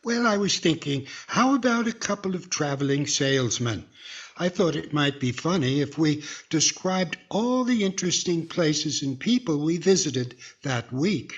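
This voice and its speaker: Guy playing hopeful old-time radio ventriloquist, kinda high husky voice, muffled lo-fi audio. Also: lively intonation, moderate pace. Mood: eager. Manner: earnest, eager to please. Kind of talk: 1940s radio comedy acting.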